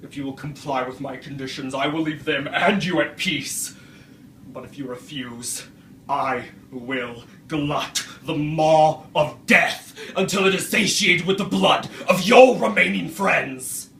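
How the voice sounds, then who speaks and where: Man performing a slow, anguished monster, roomy sound. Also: fairly high pitch, creaky, a bit bright. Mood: menacing. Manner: theatrical, archaic, deliberate oratory. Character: Frankenstein's wretched male creature, anguished and vengeful. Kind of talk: dramatic stage monologue. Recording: roomy, distant microphone, faint noise.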